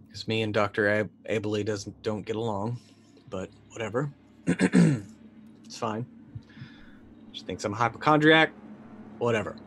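A middle-aged man playing a tabletop roleplaying game, improvising casually and haltingly as he thinks aloud. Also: on the low side, fairly bright tone, slight background noise.

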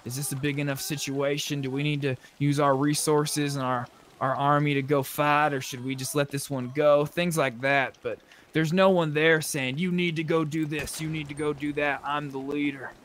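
A man's voice: fairly high pitch, level tone, moderate pace, fairly bright tone. A man talking casually in roleplay over online game voice chat. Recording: game voice chat, noisy background.

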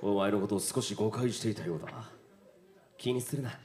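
A man playing a stern, gruff young male phantom thief in a visual novel game. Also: fairly low pitch, a little fast, a little mellow, pitched below the performer's own voice, lightly acted.

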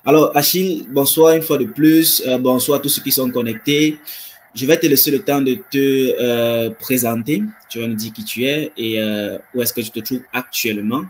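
A man's voice: medium pitch, steady tone, normal speed, slight background noise. A man, hosting a casual livestream on a video call with enthusiasm and repeating phrases for emphasis. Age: 20s. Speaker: livestream host. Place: indoor room with wood-panelled wall. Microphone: webcam video call.

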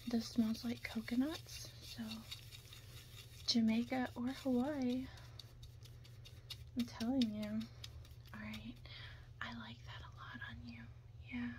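A young woman speaks in a hushed mix of whisper and soft talk for an ASMR roleplay. Her voice sits a little high, and she sounds chatty and hesitant. She is close to the mic, with a faint hiss behind her.

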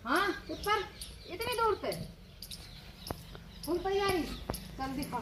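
A woman's voice: very high-pitched, animated, slow pace. Middle aged haryanvi lady chatting on a home vlog, bit bossy instructions, phone mic, slight bg noise. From rural Haryana, India. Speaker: family vlogger, mother. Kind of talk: casual home vlog chat. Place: village house and open courtyard. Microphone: handheld phone.

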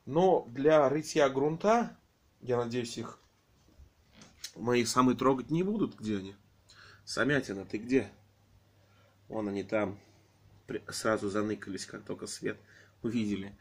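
A man with a slightly hoarse voice from a cold, filming his aquariums at home on a handheld phone and talking in a rambling, hesitant way full of fillers. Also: medium pitch, measured pace, long pauses. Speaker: aquarium hobby vlogger. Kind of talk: casual home vlog, off-camera narration.

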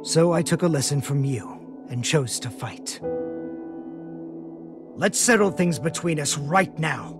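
Solemn, formal mage over music. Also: male voice, a little high, expressive. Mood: regretful, determined. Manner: formal, measured, articulate wording. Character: solemn, soft-spoken male mage burdened by regret. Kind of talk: animated game cutscene acting. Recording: dramatic music under the voice.

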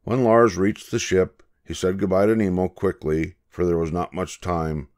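An older man narrating a children's picture book in a measured, expressive storytelling manner. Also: low voice. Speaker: storybook reader.

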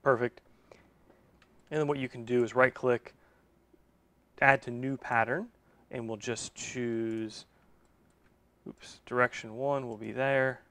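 A man's voice: medium pitch, steady tone, slow, long pauses, fairly bright tone, no room sound. A man in his thirties walking through a shop job in a casual instructional way, thinking aloud and correcting himself as he goes, in a machine shop. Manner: thinks aloud while working, with self-corrections. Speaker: machine shop owner and video host.

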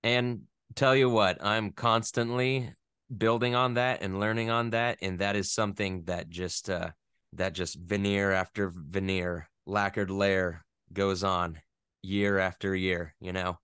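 A young American man from the West Coast talking about his work in a casual interview, rambling and animated, often laughing at himself. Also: sits low, unhurried, clean tone, no echo, studio quality. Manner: rambling, animated, self-deprecating. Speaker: voice actor guest.

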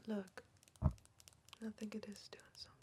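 A young woman playing a weary, defiant member of a backwoods crime family in an ASMR roleplay, in a hushed, halting breathy whisper. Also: medium pitch, wildly swooping pitch. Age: young adult. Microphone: close ASMR microphone.

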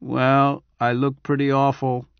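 A man playing a strained adult man who speaks flatly and harshly, in a storybook reading. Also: medium pitch, unhurried, clear, resonant tone, dry recording, lo-fi sound, natural voice. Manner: flat, harsh.

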